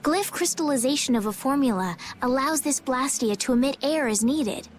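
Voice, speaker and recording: A woman playing a polite, bookish young noblewoman in a video game, speaking in an earnest, gentle and formal way. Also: high voice, lively intonation, moderate pace, a little hiss or hum. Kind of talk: English video game voice acting.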